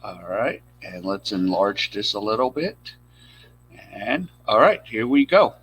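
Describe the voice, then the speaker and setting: A man's voice: lowish voice, unhurried, fairly bright tone, husky. A male host giving loose, casual commentary on a video. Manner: laid-back, loose with fillers.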